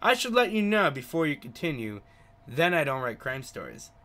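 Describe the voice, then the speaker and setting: A man reads a courteous male novelist from a visual novel. The voice is bright and slightly high, inquisitive and polite, with animated pitch. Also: moderate pace. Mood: intrigued. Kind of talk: reading visual novel dialogue aloud.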